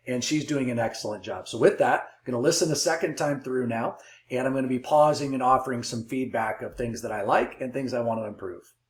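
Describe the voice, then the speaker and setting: A man's voice: medium pitch, a little mellow. A man giving encouraging, affirming coaching commentary as a call trainer. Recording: roomy, echoey sound.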